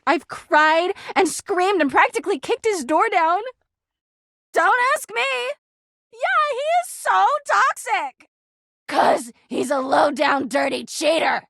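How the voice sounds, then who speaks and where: A woman's voice: very high-pitched, a bit bright, no echo, clean studio sound, full character voice. A woman voicing a clingy, jealous talking laundry hamper in a video game, gushing sweetly and flipping into bitter drama. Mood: hurt, bitter, then accusing, jealous. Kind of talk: video game character voice acting.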